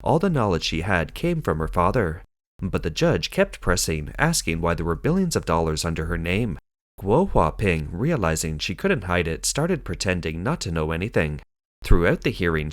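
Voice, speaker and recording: A man narrating a scripted news explainer in a measured, dramatic storytelling manner. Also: sits low, big pitch swings, no room sound, studio quality.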